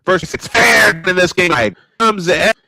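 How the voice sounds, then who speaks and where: A man calling live baseball play-by-play, excitable and prone to repeating his points. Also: high voice, creaky, dry recording. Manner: excitable, repeats points, quick calls of action. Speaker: play-by-play announcer. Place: home sports studio.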